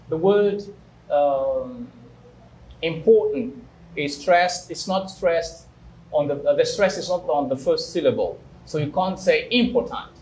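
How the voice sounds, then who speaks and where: A male interviewer who probes and presses, asking the same question again until he gets an answer. His voice is a little high for a man. Faint background noise sits under the talk. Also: low-quality recording. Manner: probing, presses with repeated questions. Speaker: interviewer, English teacher.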